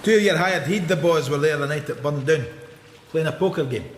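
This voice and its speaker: A man's voice: a little high, brisk, close to an everyday voice. Wry Scottish detective played by a man, noisy backdrop. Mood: sardonic, probing. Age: middle-aged. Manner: dry, sardonic. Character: wry, world-weary male Scottish police detective. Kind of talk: radio drama acting. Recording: noticeable background noise.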